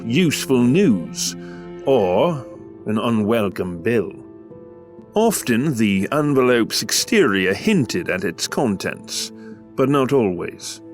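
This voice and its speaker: An actor playing a melancholy failed writer in his thirties, reciting his diary in formal, florid audio drama style. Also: medium pitch. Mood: brooding, musing. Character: melancholy, self-deprecating failed male writer of thirty-five. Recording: music under the voice. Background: music.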